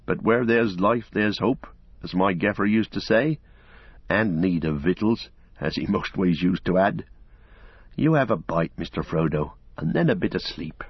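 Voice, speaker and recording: A man voicing a blunt, loyal hobbit servant in a lowered, gruff, folksy grumble, heard in a dull, dry lo-fi recording. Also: expressive, measured pace, no echo, heavily acted. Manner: grumbling, folksy and plain-spoken. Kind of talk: audiobook character voice.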